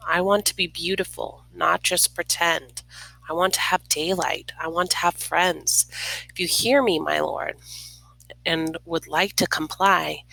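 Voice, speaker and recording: A woman acts out a sad young storybook girl who speaks slowly and earnestly. Her voice is bright with some creak in it, and she pleads quietly, at times sinking to a mutter. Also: fairly low pitch, dry recording, heavily acted, rough recording. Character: sad young girl praying and wishing to be lighter.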